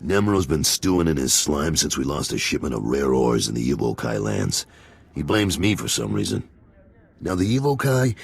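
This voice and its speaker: Game role: gruff middle-aged crime lieutenant. Rock-bottom pitch, heavy gravel, businesslike. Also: male voice, exaggerated voice. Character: gruff, world-weary male gangster boss, middle-aged father. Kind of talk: video game voice acting.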